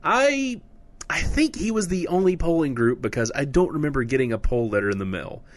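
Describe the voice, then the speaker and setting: Animated male radio host. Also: fairly high pitch, brisk. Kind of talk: folksy comic radio announcing.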